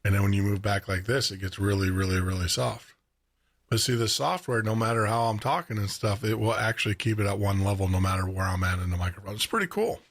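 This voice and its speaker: Chatty male radio host, deep baritone with gravel, talking into a studio mic. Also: fast. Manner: chatty, laughs often, repeats words. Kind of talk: casual live radio chat. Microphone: studio microphone with headphones.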